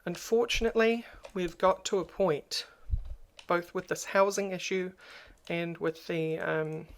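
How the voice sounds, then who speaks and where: A speaker with a noticeably crackly voice talks straight to camera in a personal vlog. The delivery is flat and low-key, with little rise and fall. They sound subdued and hesitant, pausing often on ums. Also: gravelly. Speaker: YouTuber.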